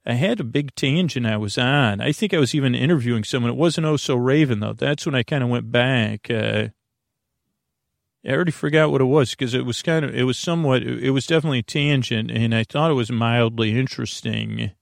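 Man, creaky fry, dry sound, bedtime podcast host, rambling, halting, folksy, digressive. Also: medium pitch, no room sound. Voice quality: creaky, gravelly.

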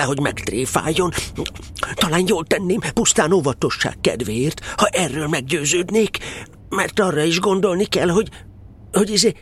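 An actor playing a slow, cautious bear who loves honey, ponderous and hesitant with many ums. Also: male voice, fairly high pitch, a bit bright, husky. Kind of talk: radio play acting.

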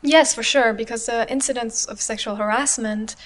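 A woman's voice: on the high side, steady tone, normal speed. Candid woman telling her life story. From Germany. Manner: open, reflective, frequent "you know". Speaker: podcast guest.

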